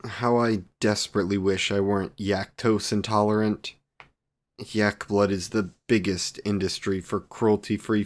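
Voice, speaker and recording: Man playing a slow-spoken, courtly vampire boyfriend. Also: lowish voice, lightly acted. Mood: wry, earnest. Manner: ornate, archaic, formal phrasing. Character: devoted, courtly male vampire boyfriend, wry and doting. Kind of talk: scripted romantic comfort audio roleplay.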